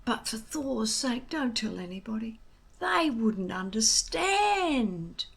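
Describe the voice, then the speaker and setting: A woman's voice: on the high side, big pitch swings, takes it slowly, vocal fry, breathy edge, exaggerated voice. A woman voicing a gloomy, unheroic young Viking boy in a children's storybook, complaining in a plaintive, emphatic way. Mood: reluctant.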